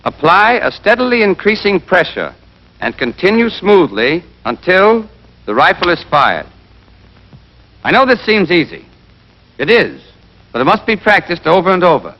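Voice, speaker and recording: A man playing a brisk, exacting American army rifle instructor in a scripted 1940s training film, drilling and quizzing with emphasis. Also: high-pitched, very animated. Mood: brisk, instructive. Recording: dull band-limited 1940s film soundtrack.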